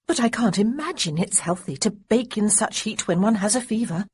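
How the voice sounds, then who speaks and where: A woman voicing Honoria, a nervous, tender young gentlewoman from a storybook romance. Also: medium pitch, animated, slightly rough, pitched above the performer's own voice. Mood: anxious. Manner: fluttery, quick, softly anxious.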